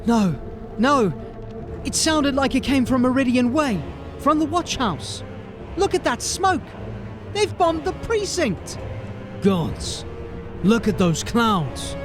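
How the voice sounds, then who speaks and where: A stern, dogged watch sergeant, formal and suspicious with a fairly high voice for a man. Faint music runs under him, in the style of an audio drama. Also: very animated, slow, pauses often, heavily acted. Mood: alarmed. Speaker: police sergeant.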